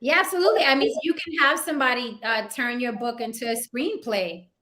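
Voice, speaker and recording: Bright, animated woman guest. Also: on the high side, a little fast. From Puerto Rican heritage. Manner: animated, repeats words for emphasis, says "right?" often. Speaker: actress and YouTuber guest. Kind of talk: casual interview chat.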